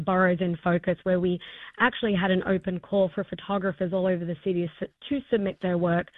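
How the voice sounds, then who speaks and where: Aussie woman being interviewed, enthusiastic and chatty, thin narrowband audio, no room echo. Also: mid-range voice. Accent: Australian. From Australia. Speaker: interview guest, arts festival co-founder. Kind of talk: conversational interview.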